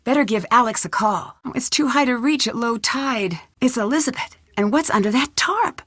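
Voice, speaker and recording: Plucky young girl detective for a video game, highish voice, musing to herself, super dry recording. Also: moderate pace, a bit bright, no room sound. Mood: curious, focused. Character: curious, plucky young woman amateur detective. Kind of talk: video game voice acting, thinking aloud.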